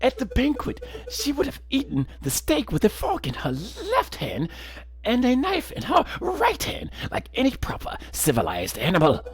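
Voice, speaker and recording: A man's voice: very high-pitched, huge pitch swings, normal speed, slightly rough, pitched above the performer's own voice, exaggerated voice. A man voicing a prim, fussy bird prosecutor in a courtroom game, hesitant and proper in his phrasing. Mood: smug, prim.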